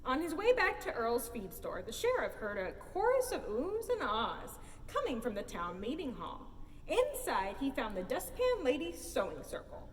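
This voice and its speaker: High, lively woman reading a storybook. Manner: theatrical, expressive. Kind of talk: animated children's picture book read-aloud. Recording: roomy hall, distant mic, faint noise.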